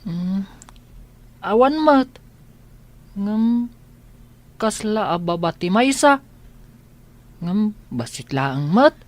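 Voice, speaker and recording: A woman's voice: mid-range voice, expressive, drawn-out words, pauses often. Curious little Ilocano boy. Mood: innocent. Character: young blind boy, curious and innocent. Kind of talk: radio drama acting in Ilocano.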